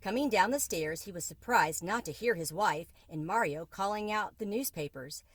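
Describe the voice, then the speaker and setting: A woman's voice: mid-range voice, lively intonation, moderate pace, no echo. A woman reading a children's book aloud as a storyteller.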